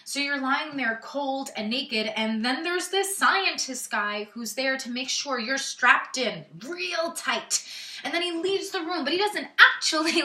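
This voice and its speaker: An actress performs a vulnerable young woman in a theatrical monologue. Her voice is slightly high and bright, and she confesses haltingly, shifting between hushed and emphatic. The sound is roomy and echoing. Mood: nervous, wry. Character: Jen, vulnerable young woman confessing painful intimate memories. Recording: roomy echo, distant microphone.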